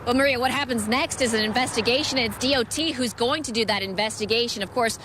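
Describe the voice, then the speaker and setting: A woman's voice: on the high side, fast, noisy background. A woman with an American accent giving a live television news report in a reporter's structured delivery.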